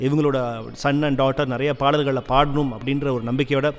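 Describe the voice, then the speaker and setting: A man's voice: mid-range voice, level tone, brisk. A man hosting a radio show, speaking fluently and conversationally with English words mixed in. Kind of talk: radio presenting between classic songs. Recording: long reverb on the voice.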